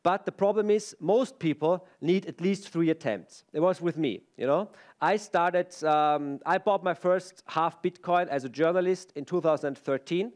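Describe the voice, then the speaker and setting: A middle-aged Austrian man with a slightly higher-pitched voice, speaking English with an Austrian German accent. He gives a chatty, self-mocking conference talk into a stage microphone, with lots of ums and restarts. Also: normal speed, a little mellow. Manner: chatty, self-deprecating, many fillers and restarts.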